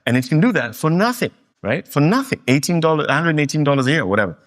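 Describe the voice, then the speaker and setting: A man from Kenya, a guest in a fireside interview, telling stories in an animated, emphatic way. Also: on the high side, fast talker. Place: live meetup venue.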